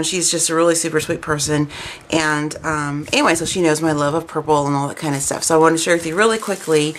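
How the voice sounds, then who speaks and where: A woman in her thirties or forties, hoarse and stuffy with a cold, chatting casually and rambling about crafts at home. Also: sits low, fairly bright tone, a little hiss or hum. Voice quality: raspy. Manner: chatty, rambling, lots of "um" fillers. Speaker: crafting vlogger. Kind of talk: casual chat to camera.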